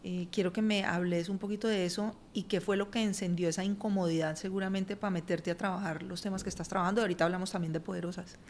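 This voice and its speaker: A woman's voice: on the low side, fairly even intonation, fast talker, few pauses, a little mellow, a little hiss or hum. A woman who hosts a podcast, conversationally encouraging and questioning her guest. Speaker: podcast host. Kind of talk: interviewing a guest.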